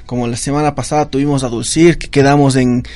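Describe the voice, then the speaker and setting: Energetic radio host, man. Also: mid-range voice, fast. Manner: enthusiastic, greets listeners at length. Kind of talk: lively radio show hosting.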